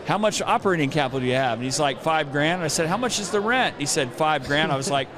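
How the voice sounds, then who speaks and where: A middle-aged male podcast host with a somewhat high voice chats informally and tells anecdotes. He is recorded at an outdoor event with a clearly audible crowd behind him. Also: lively intonation, fast. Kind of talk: casual conversational interview.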